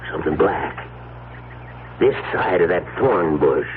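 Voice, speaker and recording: An actor playing a gruff, suspicious rural lawman in a radio drama, with folksy, laconic talk. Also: low voice, lively intonation, measured pace. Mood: stern. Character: gruff rural lawman, suspicious, stubborn, slow-reasoning man. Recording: tinny band-limited radio, faint hiss.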